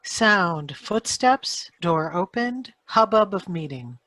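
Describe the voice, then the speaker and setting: Woman, radio-play cue reader, lowish pitch, bright forceful tone, very slow clipped phrases. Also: animated. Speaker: sound effects reader. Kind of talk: reading out script sound cues.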